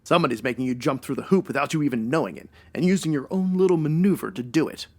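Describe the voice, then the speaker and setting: A man's voice: fairly high pitch, expressive, quick pace, a little mellow. A man playing a wry, self-assured ex-cop private detective in a 1940s radio noir style, full of wisecracking slang. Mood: accusing, wry. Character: male ex-cop private detective, wry, hard-boiled, sure of himself.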